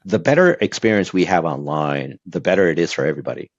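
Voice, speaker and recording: A man's voice: deep voice, lively intonation, quick pace, dry recording, highs cut off. A man from Brazil whose first language is Portuguese, giving earnest advice as an interview guest with lots of fillers and restarts. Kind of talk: casual interview talk.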